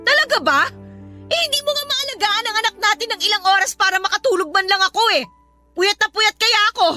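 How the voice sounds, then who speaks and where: A voice actress playing an exhausted young mother who scolds her husband in a tearful, shrill way. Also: fast, bright, forceful tone. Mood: scolding. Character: exhausted young mother, sharp-tongued and resentful. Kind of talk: Tagalog radio drama acting. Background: music.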